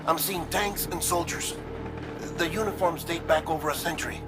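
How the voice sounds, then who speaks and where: Man acting a nervous, wordy scientist, higher-pitched. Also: fairly even intonation, moderate pace, over loud music, lightly acted. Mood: anxious, hopeful. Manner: nervous, wordy, hesitant, theatrical. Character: jittery, confiding, lonely male scientist comrade, frightened but chatty. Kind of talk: dramatic video game cutscene acting.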